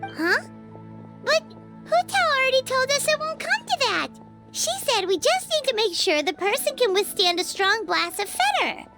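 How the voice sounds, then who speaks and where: A tiny, naive fairy girl in a cartoonish video game. The voice is extremely high and squeaky, chatty and curious, swinging widely in pitch. Music plays clearly behind it. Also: moderate pace, heavily acted. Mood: puzzled. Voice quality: squeaky, childlike. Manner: expressive, inquisitive.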